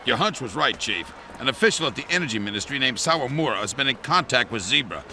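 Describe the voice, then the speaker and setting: A dependable male intelligence officer in an anime game. His voice is sharp and bright at a medium pitch, serious and matter-of-fact, with faint noise behind. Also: very animated, fast, heavily acted. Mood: intent, businesslike. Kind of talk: English anime game dub acting.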